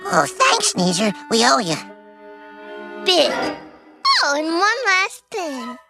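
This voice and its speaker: An actor playing an excitable young cartoon rabbit, with music behind. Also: high voice, slow, gravelly, full character voice. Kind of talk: animated cartoon voice acting.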